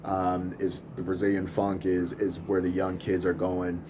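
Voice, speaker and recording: Low-voiced man rambling casually on camera. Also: a little slow, noisy background. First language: English. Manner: rambling, relaxed, laughs between thoughts. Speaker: podcast host and music producer. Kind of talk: casual off-the-cuff vlog. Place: apartment in a big city, filming out over rooftops.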